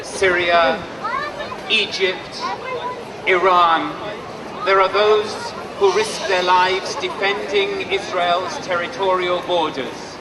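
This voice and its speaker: Man speaking at an outdoor rally, high pitch, slow and deliberate, distant echoey PA sound. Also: steady tone, noisy background. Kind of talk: public speech. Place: large outdoor square. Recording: distant PA speech in a loud echoing crowd.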